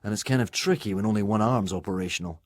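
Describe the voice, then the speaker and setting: A man voicing an excitable teenage boy in an audiobook, jokey, incredulous and mock-grumpy. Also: fairly low pitch, quick pace, dry recording, studio quality, close to an everyday voice. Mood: cheerful.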